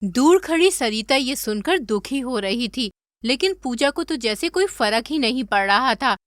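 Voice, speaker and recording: High, bright female story narrator. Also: animated, unhurried, dry recording, studio quality.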